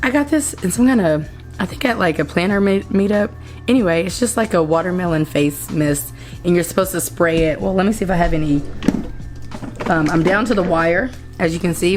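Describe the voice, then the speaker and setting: A young woman vlogging from home with a hoarse voice. She chats casually and rambles, often correcting herself, while she finishes her makeup. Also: on the low side. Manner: rambling, self-correcting, chatty. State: hoarse after a concert. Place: indoors at home.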